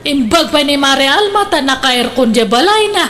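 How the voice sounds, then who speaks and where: Nagging wife, high voice. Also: female voice, animated, normal speed, a bit bright, a little hiss or hum. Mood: discontented, needling. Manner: complaining, needling. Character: discontented, envious, nagging wife. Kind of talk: comedic radio drama acting.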